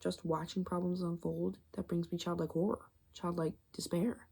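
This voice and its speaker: A woman speaking softly in a rambling, casual ASMR style, musing and halting mid-thought, often slipping into a whisper. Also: fairly low pitch, level tone. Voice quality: very creaky vocal fry. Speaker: ASMR video creator.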